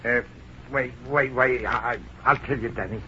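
An actor playing a blustering, guilty, hard-drinking Irishman who stammers and shouts, with an Irish accent, in old film drama style. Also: mid-range voice, fairly even intonation, moderate pace. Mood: drunken, rambling. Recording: dull, noisy old film soundtrack.

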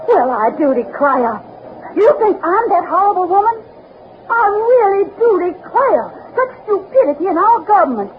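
A woman's voice: very high voice, very animated, moderate pace. An actress playing an affronted, haughty lady with an American Southern accent and a fluttery drawl in an old-time radio drama. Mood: indignant. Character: indignant, haughty Southern lady train passenger. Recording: dull, faintly noisy old radio broadcast.

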